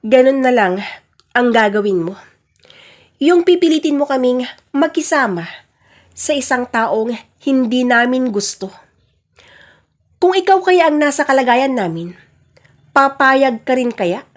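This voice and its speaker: A woman's voice: high-pitched, lively intonation, a little slow, pauses often. A woman voicing a defiant adult daughter, indignant and pleading, in a radio-style family drama.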